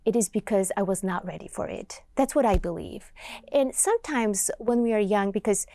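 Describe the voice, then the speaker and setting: A woman's voice: mid-range voice, dark tone. Reflective woman with a Georgian accent. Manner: reflective, earnest, unhurried storytelling. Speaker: poet and writer, interview guest. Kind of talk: studio interview. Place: TV studio.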